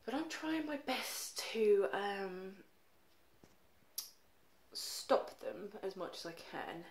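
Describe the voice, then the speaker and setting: A woman's voice: medium pitch, sing-song, very slow. A young woman with a cold, chatting casually to her phone camera in her living room, rambling with lots of ums and likes. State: congested with a cold. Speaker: makeup vlogger. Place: home living room, indoors. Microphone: phone held at arm's length.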